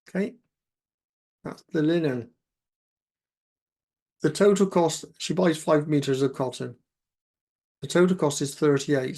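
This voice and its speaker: A man with a croaky voice, working through exam maths questions aloud step by step as a tutor. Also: fairly high pitch. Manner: steady step-by-step, talks himself through sums.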